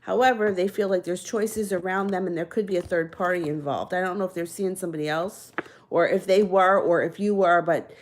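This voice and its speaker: A woman's voice: fairly low pitch, steady tone, brisk. A woman giving live-stream tarot readings in a casual, chatty, reassuring way, a little out of breath and slightly nasal from congestion. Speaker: tarot card reader.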